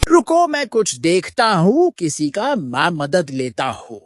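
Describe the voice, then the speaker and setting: A man's voice: sits high, wildly swooping pitch, takes it slowly, a little hiss or hum, exaggerated voice. A man voicing a grumbling but caring village headman and father in a cartoon. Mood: concerned. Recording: faint music behind.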